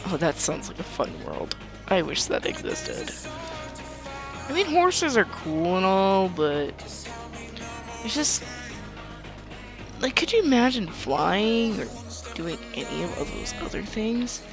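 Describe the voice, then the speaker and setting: A young woman hosting a gaming video, chatting casually in a calm, soothing voice as she rambles. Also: medium pitch, takes it slowly, long pauses. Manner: calm, soothing, rambling talk with light laughs. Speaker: gaming channel host. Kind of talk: casual gameplay commentary. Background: background music.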